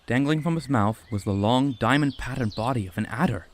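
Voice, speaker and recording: A man giving steady, scripted storybook narration for a fan-made audiobook. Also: medium pitch, brisk.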